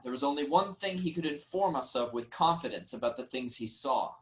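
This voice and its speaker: A man's voice: medium pitch, animated, brisk, a bit bright, close to an everyday voice. A man playing an earnest Theban royal messenger in a staged reading of Greek tragedy, emphatic and declarative. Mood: grave.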